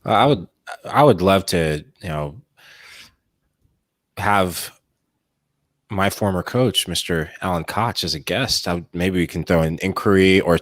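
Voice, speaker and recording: A man, a former player, co-hosting a casual sports podcast and talking in an earnest, measured way. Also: deep voice, dry recording.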